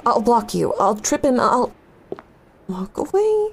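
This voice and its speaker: A woman's voice: fairly high pitch, very animated, takes it slowly, slight background noise, heavily acted. A woman playing a blunt, loyal young best friend in a comedic audio drama, teasing and protective. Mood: conspiratorial.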